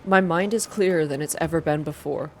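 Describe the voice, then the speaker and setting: A woman reading first-person story narration aloud in a soft, hushed, measured ASMR style. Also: low-pitched, soft background music. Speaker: narrator reading a visual novel game aloud.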